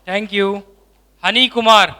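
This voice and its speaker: A man's voice: high-pitched, fairly even intonation, unhurried, sharp tone, slight background noise. A man hosting an orientation, briefly thanking each speaker and calling the next name. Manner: crisp, calls out names in turn. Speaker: orientation host calling students. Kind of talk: brief announcements.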